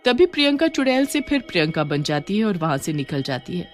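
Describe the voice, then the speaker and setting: A woman's voice: lowish voice, big pitch swings, brisk, soft background music. Woman narrating a horror story.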